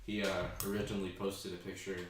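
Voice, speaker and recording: A man's voice: low voice, flat delivery, fast talker, background noise. A teenage boy co-hosting a school podcast, laid-back and easily amused. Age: teens. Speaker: podcast co-host. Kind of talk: casual chat. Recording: echoey room, distant from the mic.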